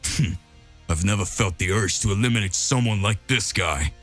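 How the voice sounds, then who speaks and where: A man's voice: lowish voice, normal speed, a bit bright, faint music behind, voice pushed down. A man voicing a sarcastic world-destroyer. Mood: mocking. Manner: sarcastic, arrogant. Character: arrogant sarcastic world-destroying villain, male.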